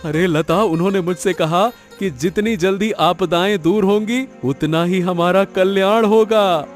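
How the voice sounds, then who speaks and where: A man voicing a good-hearted, sentimental farmer husband in a Hindi cartoon moral story, expressive and full of exclamations. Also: high voice, measured pace, faint music behind. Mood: thoughtful.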